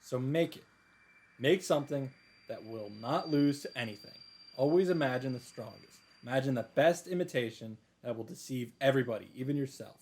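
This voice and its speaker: A man's voice: medium pitch, fairly quick, darkish tone, breathy edge. A man reading a visual novel's first-person narration aloud in an earnest, dramatic way and commenting as he goes. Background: game music.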